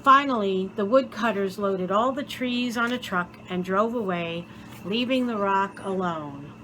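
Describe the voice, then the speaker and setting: A woman reading a children's story aloud in an expressive, theatrical way, with deliberate dramatic pauses. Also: medium pitch, a little hiss or hum, poor audio. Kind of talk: expressive scripted story narration.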